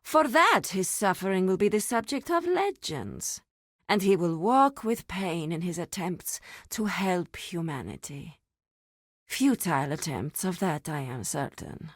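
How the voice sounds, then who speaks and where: An actress voicing a solemn druidic keeper of a sacred grove in a video game, speaking in a measured, formal, grave manner. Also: female voice, on the low side, sing-song, no room sound, clean studio sound. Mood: bleak, certain. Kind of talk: scripted game dialogue.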